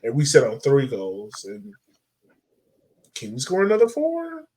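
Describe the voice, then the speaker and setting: A man's voice: mid-range voice, huge pitch swings, a little slow, a little mellow. A man hosting a casual soccer discussion, speaking informally and conversationally and often posing questions. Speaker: podcast host.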